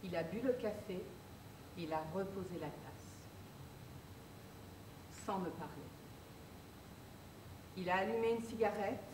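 A woman acts out a forlorn, abandoned woman who recites every small detail in a slow, dragging monotone. Her mid-range voice echoes around a theatre, caught from far away. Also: mid-range voice, background noise, natural voice. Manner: slow, flat, listing recital. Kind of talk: stage monologue. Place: theatre stage in spotlight. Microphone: far room mic.

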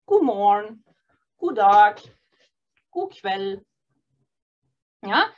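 A woman teaching an online beginner Norwegian course, cheerful and encouraging, modelling phrases slowly and clearly. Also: medium pitch, big pitch swings, highs cut off. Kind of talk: online beginner language lesson.

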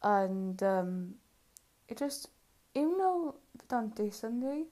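A woman's voice: on the high side, big pitch swings, slow pace, warm tone. A woman hosting a reaction video, thinking aloud in casual, unscripted commentary.

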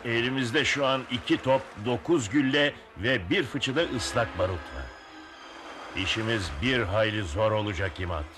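A man's voice: on the low side, moderate pace, background noise, natural voice. A man voicing a bold, resolute sea captain in a dubbed cartoon, with a commanding manner. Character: bold heroic bearded young sea captain.

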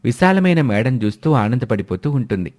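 A man narrating an animated moral story in a steady storytelling manner. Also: medium pitch, brisk.

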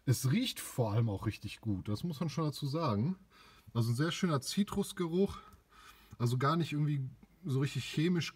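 Young man with a husky voice. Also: a little high. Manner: relaxed, chatty, many fillers. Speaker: car care channel host. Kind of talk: casual product review. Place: small home garage, indoors.

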